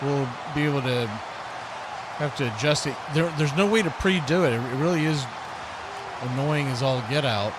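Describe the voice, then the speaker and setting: A man's voice: medium pitch, moderate pace, long pauses, over a noisy crowd, very noisy. A man doing live football play-by-play, mixing clipped calls with folksy, chatty asides. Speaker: play-by-play announcer and stream host.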